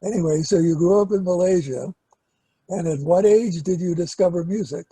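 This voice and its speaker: An older man hosting a casual interview, hesitant and pausing mid-sentence as he asks questions. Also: high-pitched, warm tone, slightly rough, no room sound. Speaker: interviewer and host, record producer.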